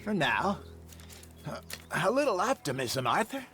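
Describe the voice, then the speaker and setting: Higher-voiced seasoned American frontier hunter. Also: male voice, very animated, a little slow, sharp tone, faint music behind, full character voice. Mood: calm, instructive. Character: seasoned, hearty frontier hunter, an older outlaw. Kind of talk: video game cutscene acting.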